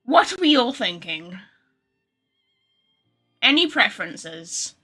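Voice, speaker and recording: A woman with a bright, fairly high voice streams a game, with music playing behind her. She chats informally to her viewers and thinks out loud as she goes. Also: big pitch swings, takes it slowly, slightly rough. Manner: chatty, informal, thinks aloud. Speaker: game livestreamer. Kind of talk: casual livestream chat with viewers.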